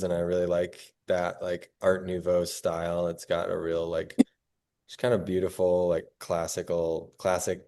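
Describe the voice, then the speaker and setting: A man from Colorado leads a relaxed artists' meetup. His low voice is mellow and smooth, and he pauses often with fillers. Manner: hesitant, many fillers. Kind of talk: casual group introduction.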